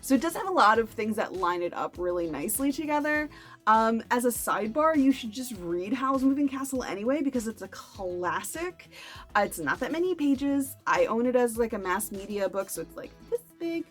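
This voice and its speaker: A woman's voice: fairly high pitch, sing-song, moderate pace, fairly bright tone, soft background music. A woman chatting casually to camera as a book review vlogger, with playful, self-deprecating asides.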